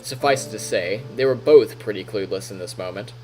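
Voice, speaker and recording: A man, a streamer reading a visual novel aloud in an expressive storytelling style with casual asides. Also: fairly low pitch, fairly quick, soft background music.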